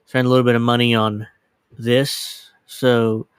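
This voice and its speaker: Casual streamer, man, late 30s, mid-pitched, rambly and self-correcting, dry close desk mic. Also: level tone, a little slow, smooth, dry recording. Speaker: livestream host. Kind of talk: casual livestream chat. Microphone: desk USB microphone.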